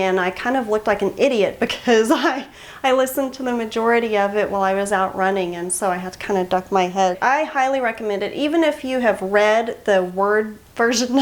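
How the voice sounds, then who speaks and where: A woman hosting a book review channel, talking in a chatty, enthusiastic and emphatic way straight to her viewers. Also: medium pitch. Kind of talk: casual scripted book recommendation to camera.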